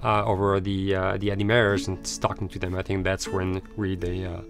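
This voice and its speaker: A man in an interview, talking informally and haltingly, often rephrasing himself. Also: low-pitched, monotone. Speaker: game studio executive. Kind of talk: interview talk. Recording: guitar music behind the voice.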